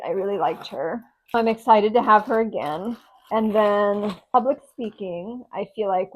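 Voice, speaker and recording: A woman's voice: medium pitch, husky, rough recording. A young woman vlogging casually on a chest lapel mic, chatty and rambling, with a slight lisp and stutter. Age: young adult. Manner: chatty, rambling, restarts often. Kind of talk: casual vlog chat. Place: home, indoors.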